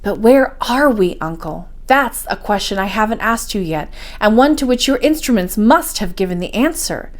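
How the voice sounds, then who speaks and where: A woman voicing a curious, amazed young nephew on an expedition in a story, questioning and excitable. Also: mid-range voice, normal speed, hardly any pauses, lightly acted.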